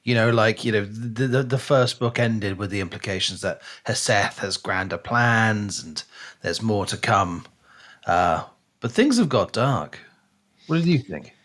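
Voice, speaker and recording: A man's voice: on the low side, animated, a little slow, a bit bright. A man co-hosting a podcast, casually discussing a book and rephrasing as he thinks aloud. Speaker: podcast co-host.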